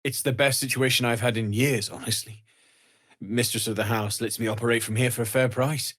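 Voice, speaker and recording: An actor playing a kindly, earnest young blacksmith in a fantasy video game, with a husky, breathy voice and an understated manner. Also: male voice, medium pitch, fairly even intonation, brisk, a bit bright, close to an everyday voice. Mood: earnest, helpful. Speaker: blacksmith and trader. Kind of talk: video game character dialogue.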